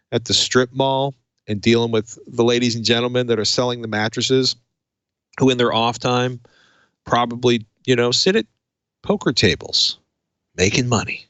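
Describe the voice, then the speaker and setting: A man's voice: mid-range voice, fairly bright tone, dry recording, lo-fi sound. A man hosting a tech podcast, talking in a conversational, emphatic way. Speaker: podcast host. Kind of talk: casual tech podcast talk and host-read ad.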